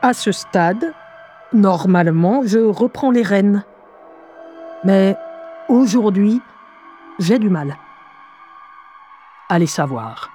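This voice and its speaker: Radio drama lead: wry, easily exasperated female narrator, medium pitch, faint music behind. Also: animated, slow, pauses often, dark tone. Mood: weary, wry. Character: weary, sardonic woman narrating from her diary.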